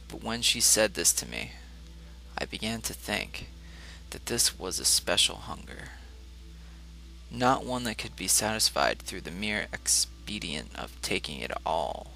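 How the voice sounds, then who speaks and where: A man reading a literary short story aloud as scripted first-person narration, measured and broken into short phrases. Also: sits low, vocal fry, pushed and bright, poor audio. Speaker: audiobook reader.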